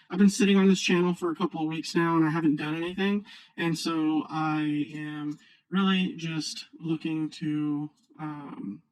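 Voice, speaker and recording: A man speaking through a voice changer, giving casual, halting, unscripted screen-share commentary as a video host. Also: sits high, no room sound, poor audio. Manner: halting, rambling, unscripted delivery. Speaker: video host, new finance YouTuber.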